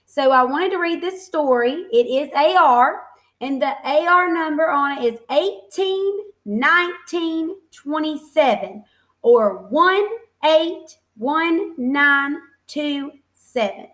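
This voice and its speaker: A woman with a distinctly high voice reads a story to young children in the manner of a teacher. She is expressive and encouraging, stepping out of the text to explain in simple words and put questions to her listeners. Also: slow. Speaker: teacher reading a picture book to young children.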